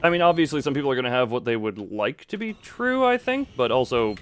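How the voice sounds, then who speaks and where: A man livestreaming a game, chatting casually in a halting, rambling way as he thinks aloud. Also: medium pitch, big pitch swings. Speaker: livestream host. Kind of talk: casual chat while playing a horror game live. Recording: clear background noise.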